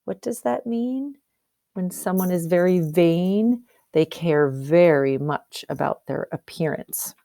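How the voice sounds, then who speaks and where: A woman's voice: on the low side, slow pace, gravelly, dark tone, no room sound, clean studio sound. A woman teacher reading a storybook aloud expressively, explaining and asking questions as if to young pupils. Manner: explanatory, questioning, checks understanding.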